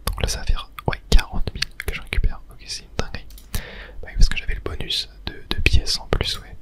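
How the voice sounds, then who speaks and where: Whispered ASMR gaming commentary. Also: fairly quick. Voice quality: soft unvoiced whisper.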